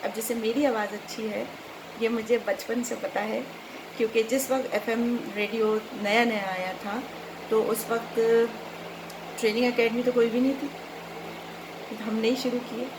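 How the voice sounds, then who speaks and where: High-voiced Pakistani woman over background noise. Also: steady tone, normal speed. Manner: conversational, instructive, code-mixes English words. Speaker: voice-over artist and trainer, host. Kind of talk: casual explanatory chat to viewers. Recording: clearly noisy background.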